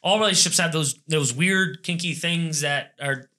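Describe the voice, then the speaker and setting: A young man chats on a podcast in a loose, rambling way, full of "like" and restarts. His voice sits high and sounds very bright and forceful. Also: moderate pace. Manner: rambling, filler-heavy, laughs often. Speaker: podcast co-host. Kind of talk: casual podcast chat.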